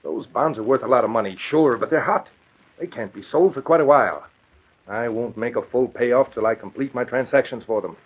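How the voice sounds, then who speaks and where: An actor playing a cool, sardonic, commanding crime boss in an old radio drama. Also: male voice, mid-range voice, brisk, close to an everyday voice. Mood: condescending, commanding. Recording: dull lo-fi old radio recording.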